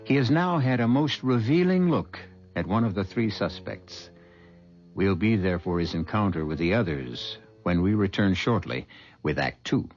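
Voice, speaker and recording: A man hosting and narrating a 1970s radio mystery in a measured, ominous storytelling style. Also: lowish voice, big pitch swings, darkish tone. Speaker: show host and narrator. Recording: dull vintage radio with music.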